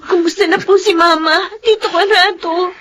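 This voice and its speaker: A gentle younger sister in a radio drama. Her voice is very high and bright, earnest and concerned, with slight background noise. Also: steady tone, moderate pace. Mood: worried. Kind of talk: Filipino radio drama acting. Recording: faint noise behind the voice.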